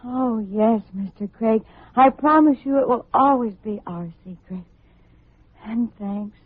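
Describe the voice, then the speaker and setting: High, tinny-sounding earnest young radio heroine. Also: female voice, measured pace, no room sound. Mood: softly grateful. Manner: hesitant, emotional. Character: earnest, timid, grateful young woman in love. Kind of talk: old-time radio drama acting. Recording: tinny, muffled old radio recording.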